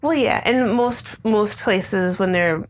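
A woman's voice: medium pitch, takes it slowly, slight background noise. A middle-aged American woman chatting casually and unscripted as the co-host of a podcast.

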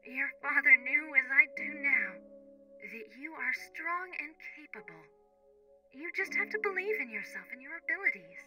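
A woman's voice: high-pitched, lively intonation, moderate pace, far from the mic, over loud music. A woman playing a loving, encouraging older mother in a sci-fi audio drama, affectionate yet firm. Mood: affectionate, reassuring.

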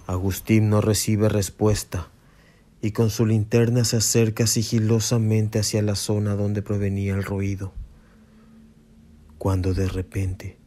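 A man's voice: lowish voice, flat delivery, unhurried, dark tone. A man narrating a scripted horror radio story with dramatic suspense. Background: music and night insects.

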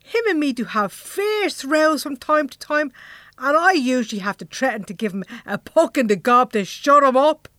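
A woman's voice: sits high, animated, normal speed, bright, forceful tone, dry recording, clean studio sound. A woman acting the bossy, no-nonsense leader of a secret band in an Irish comedy audio drama, colloquial, with a Dublin accent. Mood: reassuring.

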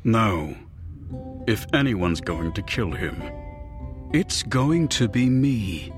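An indignant, principled male supervillain in an audiobook drama. His pitch leaps dramatically while he takes his words at a measured pace, with music clearly playing behind him. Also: big pitch swings. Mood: stern. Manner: flustered, indignant, theatrical. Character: exasperated, principled male supervillain with a false arm. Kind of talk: full-cast audiobook drama.

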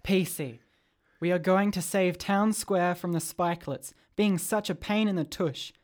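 A man's voice: sits high, unhurried. A man acting an adventurous schoolboy inventor in a radio drama. The boy is earnest and declarative, a would-be hero who takes his mission seriously. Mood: determined.

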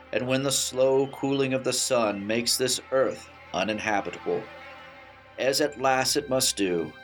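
A man's voice: mid-range voice, natural voice. A man playing an earnest, easily exasperated radio broadcaster in a comic 1930s-style radio play, with theatrical delivery. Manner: theatrical, swings between incredulity and solemn oration. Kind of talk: 1930s radio play acting, comedy spoof.

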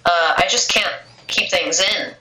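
A young woman with a cold talks about her writing in loose, easygoing chat, peppering her sentences with "like". Her voice is bright but sits a little low. The room is echoey, with a faint hiss behind her. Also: measured pace. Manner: casual, lots of filler "like". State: has a cold, losing her voice. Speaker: poet, interview guest. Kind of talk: casual interview chat.

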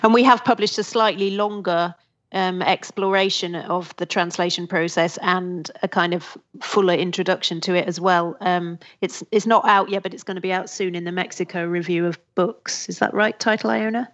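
A woman's voice: on the low side, steady tone, moderate pace. A woman who translates books, chatting thoughtfully in an interview and laughing easily. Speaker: literary translator and academic. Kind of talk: conversational interview answers. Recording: dull lo-fi audio.

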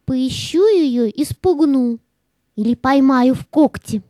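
A scheming she-cat from a fairy-tale audio play, acted by a woman. The voice is high yet mellow, recorded close and dry. It is contemptuous and coolly calculating. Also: slow, no echo. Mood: scornful, scheming. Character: scheming, scornful female cat, sly predator.

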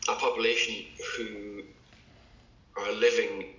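A man's voice: lowish voice, little pitch movement, takes it slowly, pushed and bright. A male doctor from England, a podcast guest, talking in a hesitant way with many fillers and restarts. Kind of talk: casual interview talk. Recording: echoey, dull lo-fi sound.

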